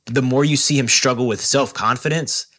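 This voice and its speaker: A woman's voice: extremely low pitch, fairly even intonation, moderate pace, fairly bright tone. A woman co-hosting a podcast in casual chat, talking in an enthusiastic, rambling way. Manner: enthusiastic, rambling, laughs easily. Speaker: podcast co-host.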